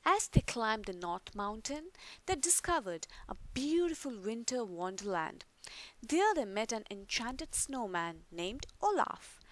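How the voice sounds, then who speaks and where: A woman reading a children's story aloud in an expressive, deliberate storytelling manner. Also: fairly high pitch, rough recording. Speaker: storybook reader.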